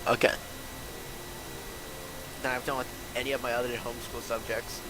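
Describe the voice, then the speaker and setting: A man's voice: medium pitch, level tone, moderate pace, a bit bright, poor audio. A young man with a lisp, chatting casually and rambling in slangy, self-mocking style as he streams a game. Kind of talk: casual livestream chat while gaming. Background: video game music.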